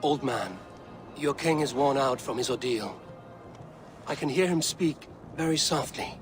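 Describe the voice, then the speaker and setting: A Viking warrior played by a male actor in a video game, calm and kindly. The mid-pitched voice is measured and dryly wry, over soft background music. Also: close to an everyday voice. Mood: calm, persuasive. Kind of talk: video game cutscene acting.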